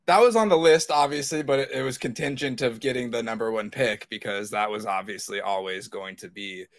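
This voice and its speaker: A man's voice: medium pitch, a little fast. A man talking casually as a panelist on a podcast. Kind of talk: casual chat.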